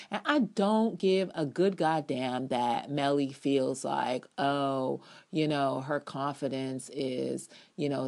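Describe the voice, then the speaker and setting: Low-voiced, theatrical American woman. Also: darkish tone. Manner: emphatic, sassy, theatrical. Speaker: blogger reading her own TV review aloud. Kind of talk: dramatic reading of opinionated review with ad libs.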